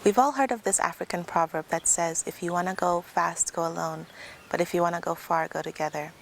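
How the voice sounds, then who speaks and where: A young woman from Tanzania gives a prepared talk in an earnest, conversational way. Her voice sits low, with a gravelly fry at the ends of phrases. A faint hiss runs underneath. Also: steady tone, fairly quick, low-quality recording. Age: young adult. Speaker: software engineer giving a talk. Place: outdoors.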